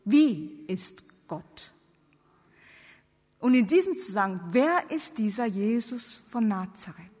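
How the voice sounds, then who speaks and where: High-voiced woman preaching in a reverberant hall. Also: sing-song, slow, a little mellow, slightly rough. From outside Germany. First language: not German. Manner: emphatic, rhetorical questioning. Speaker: speaker, theologian preaching. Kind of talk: theological sermon to an audience. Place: large reverberant hall before seated audience. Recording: long reverb of a large hall.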